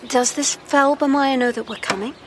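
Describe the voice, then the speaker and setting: An actress playing a composed, soft-spoken young professor's daughter in an audio drama, restrained and matter-of-fact. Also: female voice, sits high, moderate pace, background noise, natural voice. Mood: subdued, composed. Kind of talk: full-cast audio drama acting. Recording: loud music under the voice. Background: music.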